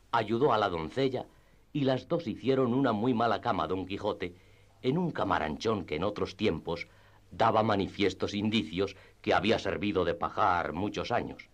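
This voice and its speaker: A man narrating a classic literary tale for radio theatre in a measured, formal storytelling manner. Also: on the low side.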